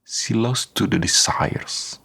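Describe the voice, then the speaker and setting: A man narrating a scripted radio drama as a solemn, deliberate storyteller. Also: lowish voice, gravelly, pushed and bright, slightly rough. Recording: voice over prominent music. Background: music.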